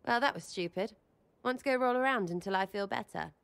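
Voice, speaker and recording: A woman's voice: medium pitch, fairly quick, creaky, fairly bright tone, long reverb tail. A woman playing a brash, irreverent young elf rebel in a video game, with an English accent and blunt, slangy, cheeky delivery. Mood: amused, mischievous. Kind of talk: video game cutscene acting.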